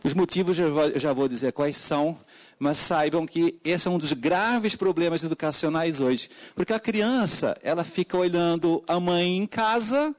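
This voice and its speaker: A middle-aged man from Portugal with a European Portuguese accent, an educator giving formal testimony at a public hearing, with frequent hesitant fillers and sudden emphatic rises. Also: moderate pace. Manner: many hesitant fillers, emphatic pitch jumps.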